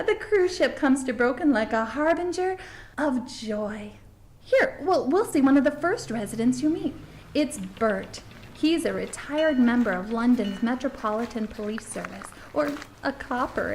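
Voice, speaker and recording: A woman's voice: on the high side, expressive, a little slow, slight background noise. A woman playing an upbeat small-town tourism host, earnest, polite and gushing as she narrates and interviews. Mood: polite, interested. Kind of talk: scripted promotional narration and interview.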